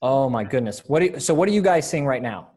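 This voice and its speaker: An encouraging event organizer with a medium-pitched voice, talking casually on a video call. The delivery is upbeat and informal, like someone getting a group ready to perform. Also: animated, quick pace, darkish tone. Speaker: organizer of a readers theater event.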